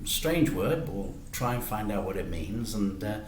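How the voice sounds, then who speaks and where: A man reading a children's story aloud in an animated, deliberate way with dramatic emphasis. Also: fairly low pitch, slight background noise. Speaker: teacher reading stories to children. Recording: echoey room.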